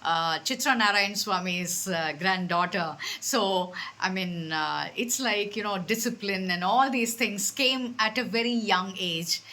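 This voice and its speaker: A woman with an Indian accent conducting a conversational interview, phrasing her questions in a halting way. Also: mid-range voice, bright, forceful tone.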